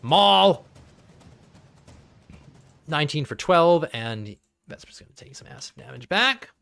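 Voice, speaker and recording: A man's voice: on the high side, lively intonation, a little slow, creaky, a bit bright. A man acting as game master in a live tabletop game, narrating turns in a chatty way and thinking rules through aloud. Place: home streaming setup.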